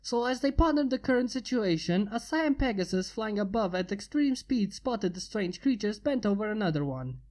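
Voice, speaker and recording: A woman reading an amateur fanfic aloud in a steady, even narration. Her voice sits at a middling pitch. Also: moderate pace, hardly any pauses. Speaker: fanfic reader.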